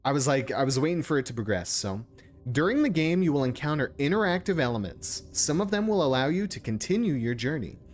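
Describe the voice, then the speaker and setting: A man's voice: fairly high pitch, very animated, fairly quick. A man giving casual live commentary as a game streamer, informal and joking, sometimes reading on-screen text aloud.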